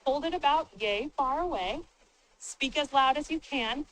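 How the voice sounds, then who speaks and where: A woman on city staff presenting and moderating at a public town hall meeting, speaking informally and plainly with a loud, booming voice into a handheld microphone. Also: lively intonation, measured pace, slight background noise. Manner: plain-spoken, informal, upbeat.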